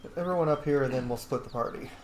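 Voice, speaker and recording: A man's voice: mid-range voice, very fast, slight background noise, low-quality recording. A man doing casual gameplay commentary as a video host, talking to himself informally and swearing now and then.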